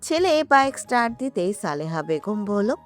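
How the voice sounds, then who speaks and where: A woman's voice: mid-range voice, sing-song, normal speed. A woman reading a romantic story as a narrator, in a dramatic scripted style. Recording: music behind the voice. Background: background music.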